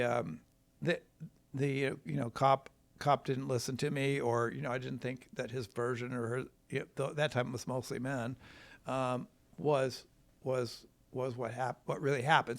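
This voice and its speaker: Halting American man with creaky voice. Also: mid-range voice, fairly even intonation. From Wisconsin, United States. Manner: halting, rambling, folksy. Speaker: trial lawyer telling his story. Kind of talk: casual conversational storytelling.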